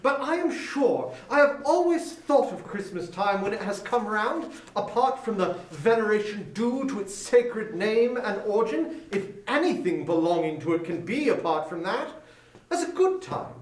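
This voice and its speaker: Roomy distant sound, man playing cheerful heartfelt nephew, very high voice, animated, storybook drama. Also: moderate pace, a little hiss or hum. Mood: earnest, warm. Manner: earnest, heartfelt, pleading. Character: warm, cheerful, earnest nephew of a miser. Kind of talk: dramatic audiobook reading of a Victorian story.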